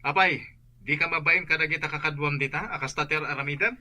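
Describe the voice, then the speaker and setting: A woman putting on a gruff scolding parent on the phone. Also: sits low, fast talker, pushed and bright, voice pushed down.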